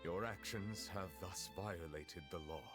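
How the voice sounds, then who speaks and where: An actor playing a solemn, formal chief judge in a video game, speaking in a measured, regretful way. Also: male voice, low voice, breathy edge. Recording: game music behind the voice.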